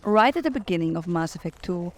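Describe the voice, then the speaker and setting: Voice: mid-range voice, animated, quick pace. A game video commentator speaking casually, with wry, self-mocking remarks.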